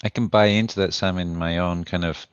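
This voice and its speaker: A man's voice: deep voice, steady tone, brisk, clear, resonant tone, dull audio. A man from the UK hosting a podcast, asking questions and sharing his own experience in a thoughtful, hesitant way with "uh" fillers. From United Kingdom. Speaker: podcast host. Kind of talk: conversational interview.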